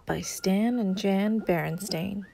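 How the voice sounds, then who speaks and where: Slow-paced woman reading a children's story. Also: mid-range voice, creaky. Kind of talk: scripted picture-book read-aloud. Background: music.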